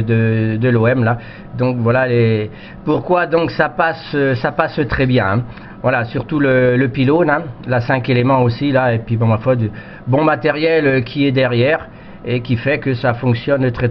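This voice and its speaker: A man chatting casually as an amateur radio operator, in halting, repetitive phrases over a radio. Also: mid-range voice. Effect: radio transmission. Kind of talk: casual radio contact chat. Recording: dull, noisy radio audio.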